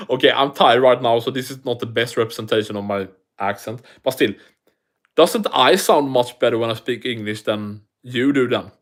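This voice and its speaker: A man's voice: mid-range voice, normal speed. A man with a thick non-native accent hosting a casual livestream, rambling and swearing as he answers viewer comments. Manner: rambling, profane, restarts often. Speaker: livestream host.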